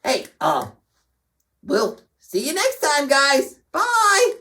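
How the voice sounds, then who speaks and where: Woman acting a young boy puppet, jokey, exclamatory, children's show banter, higher-pitched, hugely animated. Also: extremely slow, heavily acted. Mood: excited, playful. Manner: exclamatory, playful.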